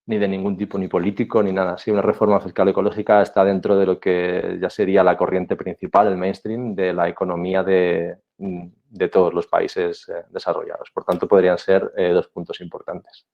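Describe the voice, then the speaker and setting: A man giving a conference talk as a panel speaker, measured and explanatory, with some hesitations. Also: low-pitched, flat delivery, creaky, highs cut off.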